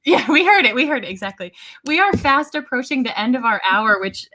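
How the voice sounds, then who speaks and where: A woman's voice: sits high, expressive, a little fast, sharp tone. A woman, speaking conversationally as the moderator of a hosted discussion. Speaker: interviewer and event moderator.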